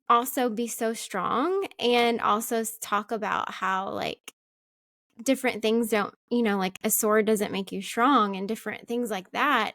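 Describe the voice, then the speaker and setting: A soft-spoken woman hosting a casual interview, chatty and full of "like" fillers. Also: a little high, no room sound, studio quality. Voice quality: soft-spoken, not loud.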